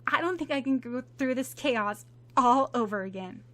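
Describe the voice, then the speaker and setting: A woman's voice: sits high, lively intonation, normal speed, a bit bright, voice pushed up. A woman voicing a modest, kind teen girl who speaks earnestly.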